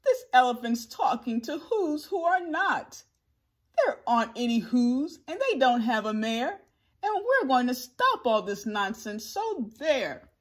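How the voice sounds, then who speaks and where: Rowdy jungle monkey brothers, put on by a woman, bullying and scornful. The voice is loud and raised in pitch, with a dismissive, bossy storybook delivery. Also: steady tone, a little slow, few pauses.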